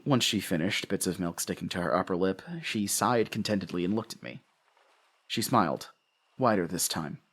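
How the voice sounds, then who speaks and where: An actor playing a haunted, guilt-ridden husband and father, narrating a horror audio drama in a halting, anguished way. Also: low-pitched, fairly bright tone. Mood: dazed, subdued. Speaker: narrator and lead character.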